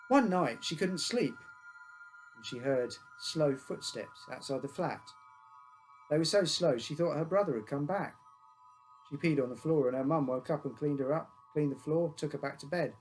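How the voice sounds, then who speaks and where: A man's voice: on the high side, moderate pace, faint music behind, poor audio. A man reading his own short story aloud as a first-person narrator, in simple childlike phrasing.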